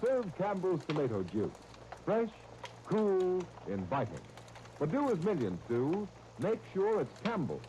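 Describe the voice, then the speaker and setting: An American man announcing on 1930s radio, narrating and pitching the sponsor in a polished, folksy, persuasive way. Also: huge pitch swings, a little slow, mellow, slight background noise. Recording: muffled 1930s radio recording.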